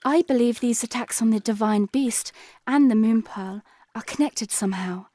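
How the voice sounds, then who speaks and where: A woman's voice: fairly high pitch, moderate pace, dark tone, no room sound, close to an everyday voice. An actress voicing an earnest, worried young princess in an audio drama who teases her knight. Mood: thoughtful.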